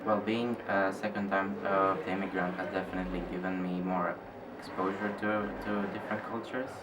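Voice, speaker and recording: Deep male interviewee, steady, repetitive phrasing, far mic, noisy surroundings. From Greece. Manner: measured, repeats words while thinking. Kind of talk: personal reflection in interview. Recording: distant mic with loud ambient noise.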